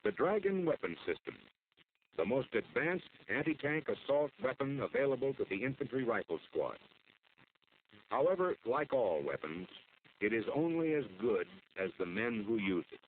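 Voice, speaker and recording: An American man delivers formal, measured scripted narration for an instructional military training film. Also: mid-range voice, very animated, slight background noise. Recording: muffled phone-like old film soundtrack.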